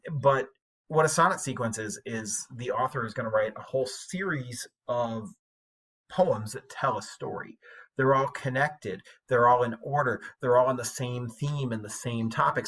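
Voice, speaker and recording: Guy teacher doing a laid-back class lecture, bright voice, chatty and explainy. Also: medium pitch. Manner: conversational, explanatory, colloquial. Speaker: high school English teacher. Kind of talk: informal recorded lecture.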